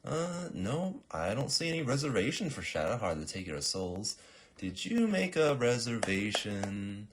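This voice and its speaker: A man voicing a bored, officious young woman who takes reservations in an impatient, deadpan way. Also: lowish voice, normal speed, background noise, voice pushed up.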